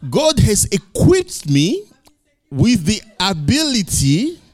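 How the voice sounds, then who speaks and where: Emphatic preacher man, lively pitch. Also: slow pace, dry recording. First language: not English. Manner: emphatic, rising to loud peaks, repeats lines for effect. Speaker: pastor. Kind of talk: sermon.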